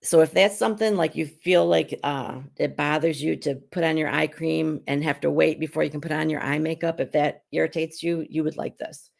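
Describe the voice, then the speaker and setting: A middle-aged woman who is hoarse while getting over an illness, chatting casually as she hosts a live skincare demonstration at home. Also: sits low, fairly even intonation, normal speed, few pauses, darkish tone. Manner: chatty and rambling, with frequent restarts. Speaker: beauty consultant and show host.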